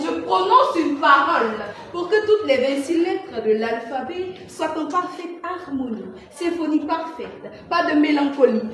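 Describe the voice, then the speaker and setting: A young woman reciting slam poetry as a student contestant, in a theatrical, emphatic manner with dramatic swells and hushed drops. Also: high voice. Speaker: student performer in a public-speaking contest. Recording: echoey room, distant microphone.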